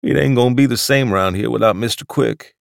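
A man's voice: medium pitch, a little fast, vocal fry, dry recording, clean studio sound, voice pushed up, natural voice. A man voicing a sweet, doddering old male club waiter, mournful and respectful.

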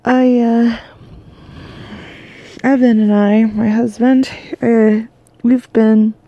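A woman hosting a bedtime podcast from her bed, exhausted and losing her voice, talking softly and drowsily in a rambling half-whisper. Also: on the high side, little pitch movement, drawn-out words, no echo, highs cut off. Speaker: podcast host. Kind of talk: sleepy bedtime monologue. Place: lying in bed at home.

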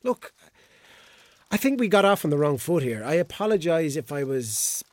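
A man's voice: a little high, sing-song, normal speed, a little mellow, dry recording. A hungover, bewildered Irish man, dry recording. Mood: apologetic. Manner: awkward, colloquial, hesitant. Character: hungover, bewildered, flippant ladies' man named Sam. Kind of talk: radio drama acting.

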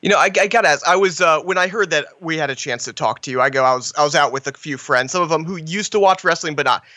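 A man's voice: on the high side, fast, pushed and bright, no echo. A man co-hosting a podcast, joking and stumbling over restarts. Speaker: podcast co-host. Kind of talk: casual podcast chat.

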